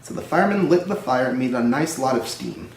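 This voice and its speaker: A man's voice: mid-range voice, expressive, brisk, vocal fry, a little hiss or hum. A man narrating a children's story as a storybook reader. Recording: roomy echo, distant microphone.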